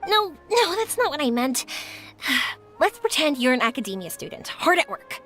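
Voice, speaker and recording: A woman's voice: sits high, very animated, moderate pace, fairly bright tone, soft background music. A woman voicing a polite, curious young traveling girl in a video game, earnest and considerate. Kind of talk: video game character acting.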